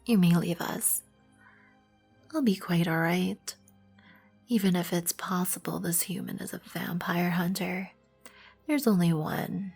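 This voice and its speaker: A woman performs an imperious vampire queen in an ASMR roleplay. Her voice sits a little low, breathy and husky, and she speaks very slowly and formally. She is composed and proud, protective of her colony. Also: fairly even intonation, darkish tone, exaggerated voice. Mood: composed, commanding. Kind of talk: ASMR roleplay, scripted second-person acting. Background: background music.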